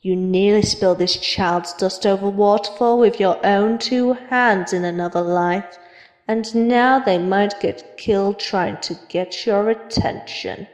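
A woman voicing a reproachful accuser, reading a message deliberately in a dramatic comic dub. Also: mid-range voice, darkish tone, close to an everyday voice. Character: reproachful anonymous accuser scolding a child. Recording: echoey with long reverb.

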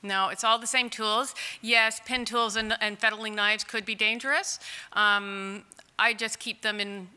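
A woman in her forties, a clay artist, answering audience questions in a chatty, candid way with a loud voice and frequent laughs. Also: medium pitch, fairly even intonation, unhurried, clear, resonant tone. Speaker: clay artist in long-term care. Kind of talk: conference Q&A answers. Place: conference hall. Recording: distant in a large reverberant hall.

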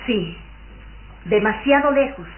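Proud young heroine, indignant and romantic radio acting, muffled vintage recording with faint noise. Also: female voice, medium pitch, animated, takes it slowly. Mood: hopeful, earnest. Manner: earnest, indignant. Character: proud, lovestruck young aristocratic daughter. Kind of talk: Mexican radio drama acting.

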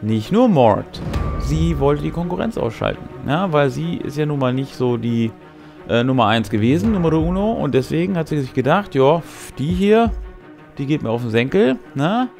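A middle-aged man with a creaky, gravelly voice who is a little sick and tired. He talks as a game master recapping a session in a casual, colloquial way, pausing for effect. Music plays under his voice. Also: a little high, sing-song, a little mellow. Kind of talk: casual recap of a tabletop role-playing game to camera. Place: small furnished room with brick wall.

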